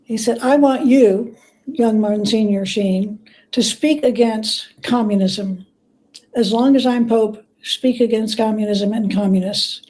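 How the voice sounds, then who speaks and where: A woman being interviewed as a biographer, telling historical anecdotes conversationally and pausing to gather her thoughts. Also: on the high side, dark tone, poor audio. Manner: measured, pauses to gather thoughts. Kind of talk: conversational storytelling.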